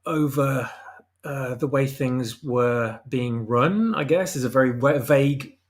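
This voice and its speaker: Guy talking thru his story, kinda hesitant, picking his words, mid pitched voice. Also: slow pace. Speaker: guest writer of a fan audio drama. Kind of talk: casual discussion of his story.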